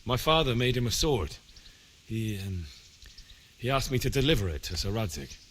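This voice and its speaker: An actor playing an earnest, determined young blacksmith's son in a medieval video game, plain and sincere. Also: male voice, mid-range voice, big pitch swings, moderate pace, a bit bright, slight background noise.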